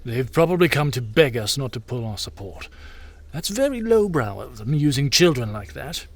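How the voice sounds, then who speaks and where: A haughty, scheming king for an audio drama. His mid-pitched voice swings expressively as he speaks in a commanding, sardonic way, curt and dismissive. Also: sing-song, moderate pace, no echo. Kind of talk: full cast audio drama acting.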